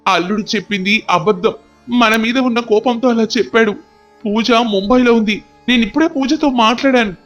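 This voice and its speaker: A man's voice: extremely high pitch, moderate pace, fairly bright tone, muffled recording, soft background music. A man playing a stern, anguished father and husband, scolding and emotional, in an audio story. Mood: insistent. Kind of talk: dramatic audio story dialogue.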